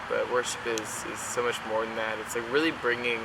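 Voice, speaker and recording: A young man from Oklahoma answers casually, pausing and restarting often. His voice stays flat and even. Loud traffic and ambient noise sit under him. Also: mid-range voice, gravelly. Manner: halting, many fillers and restarts. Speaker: worship internship graduate.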